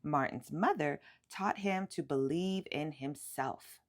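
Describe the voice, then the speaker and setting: A woman reading a children's picture book aloud in an animated, emphatic storytelling way, drawing out words for effect. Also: low voice, slow.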